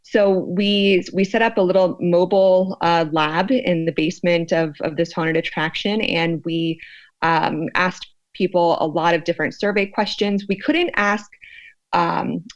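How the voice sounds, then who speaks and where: A woman explaining her research in an interview, in a thoughtful, halting, conversational way. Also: lowish voice, steady tone. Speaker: guest, sociologist and fear researcher.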